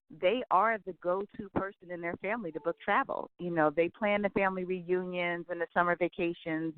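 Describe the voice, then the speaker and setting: An enthusiastic woman in her forties talks on a tinny phone line. Her voice comes through smooth and dry, upbeat and eager as she explains things. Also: lowish voice, dry recording. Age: 40s. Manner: upbeat, enthusiastic, says "absolutely" often. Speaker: guest, travel business owner. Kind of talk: phone interview.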